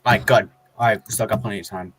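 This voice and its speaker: A man speaking as a guest in a casual chat, with halting, hesitant phrasing. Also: fairly low pitch, fairly even intonation, fairly bright tone, slight background noise.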